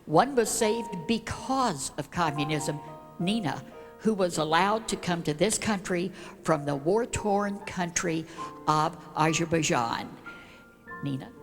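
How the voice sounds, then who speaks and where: A woman delivers a prepared church introduction in a slightly low voice. Her pace is very slow, with formal, measured phrasing. Long reverb from the hall surrounds her. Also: expressive, faint music behind. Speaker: church class leader introducing speakers. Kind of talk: prepared speech to a congregation.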